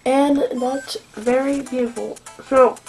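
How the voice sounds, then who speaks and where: A young woman giving casual, rambling commentary while playing a game at home, sniffly from allergies, with lots of fillers and quiet asides. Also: high voice, fairly even intonation, slow, soft background music, low-quality recording. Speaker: gameplay commentator. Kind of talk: casual let's-play commentary. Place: home room at a desk.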